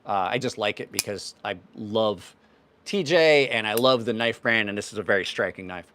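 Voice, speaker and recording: A man's voice: on the low side, normal speed, sharp tone, slight background noise. A man in his fifties hosting a knife livestream, answering viewers' questions in a rambling, offhand way. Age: 50s. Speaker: knife channel host. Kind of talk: casual livestream chat.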